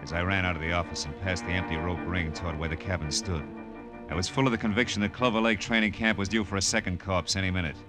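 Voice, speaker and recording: An actor playing a tough, wisecracking American private detective in a classic radio drama, slangy and wry. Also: male voice, low voice, steady tone, quick pace, lightly acted. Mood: tense narration. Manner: wry, slangy, hard-boiled delivery. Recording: old radio drama recording with music.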